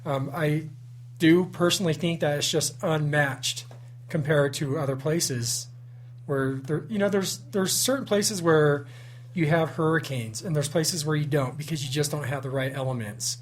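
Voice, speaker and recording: Male paranormal investigator guest, higher voice, earnest and explanatory, faint hum. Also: moderate pace. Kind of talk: casual radio interview.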